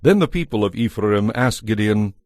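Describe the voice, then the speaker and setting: A man narrating a scripted audio Bible as a measured, solemn storyteller. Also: on the low side, expressive, darkish tone, no echo, clean studio sound.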